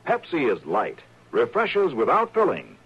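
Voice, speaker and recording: A man delivers a 1950s radio commercial in a breezy, persuasive way. His voice swings widely and is a little husky. It comes through a dull old radio recording. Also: mid-range voice, huge pitch swings, measured pace. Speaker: radio ad announcer.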